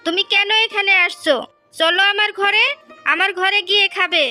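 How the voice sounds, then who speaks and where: A woman's voice: very high-pitched, fairly even intonation, normal speed, bright, forceful tone, soft background music, exaggerated voice. A woman voicing a jealous, bickering village wife, insistent and argumentative, in a Bengali fairy tale cartoon. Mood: possessive, quarrelsome.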